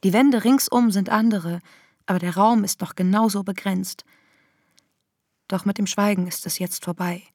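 An actress playing an embattled, defiant feminist internet activist, delivering a sardonic, polemical manifesto in a radio drama with a husky voice. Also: female voice, medium pitch, steady tone, moderate pace, a little mellow, no echo, studio quality, natural voice. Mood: defiant, weary.

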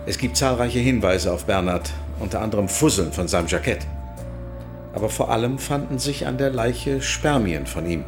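An actor playing a courteous defence lawyer of about sixty in a radio drama, formal and matter-of-fact. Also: male voice, lowish voice, expressive, moderate pace, over music, lightly acted. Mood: grave, composed. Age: 60s. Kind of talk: German radio drama acting.

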